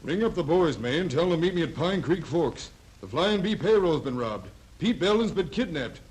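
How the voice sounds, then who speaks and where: An actor playing a resolute, commanding sheriff in a 1930s radio western, on a noisy old soundtrack. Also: male voice, fairly high pitch, animated, normal speed, darkish tone, natural voice. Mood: urgent, commanding. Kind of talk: 1930s radio drama acting.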